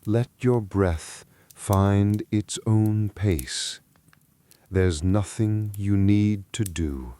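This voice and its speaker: Very slow, low, mellow soothing male narrator. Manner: gentle, calm, unhurried, soothing. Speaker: bedtime story narrator. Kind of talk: soothing guided relaxation and bedtime story narration.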